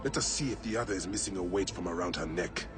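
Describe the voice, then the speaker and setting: An actor playing a determined, brooding investigator in ancient Egypt in a video game, with a terse, purposeful delivery. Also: male voice, sits low, quick pace, natural voice. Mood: focused, deliberate. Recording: music behind the voice.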